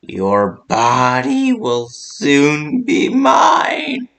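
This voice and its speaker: A performer voicing a menacing, gloating nightmare villain for a game, with a drawn-out, threatening delivery. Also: mid-range voice, wildly swooping pitch, gravelly, fairly bright tone, shouting, voice pushed up, full character voice.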